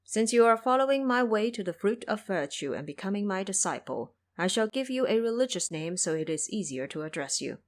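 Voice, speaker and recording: A composed holy monk, voiced by a woman, speaks formally in an audio drama. He is measured and courteous, with the gentle authority of a master addressing disciples. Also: medium pitch, big pitch swings, lightly acted. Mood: approving.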